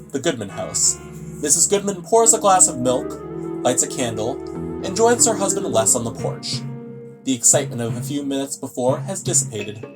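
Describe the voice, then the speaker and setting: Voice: mid-range voice, natural voice, poor audio. A narrator telling a suspense tale in a radio play, in a theatrical, ominous storytelling style.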